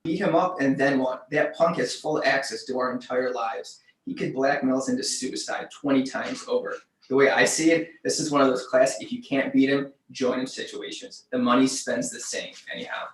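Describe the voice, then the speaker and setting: An actor playing an easygoing, pragmatic investigator with a measured, placating manner, in a screenplay table read. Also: male voice, medium pitch, fairly even intonation, echoey room, a little hiss or hum, natural voice, rough recording. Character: easygoing, pragmatic investigator, the calmer male partner.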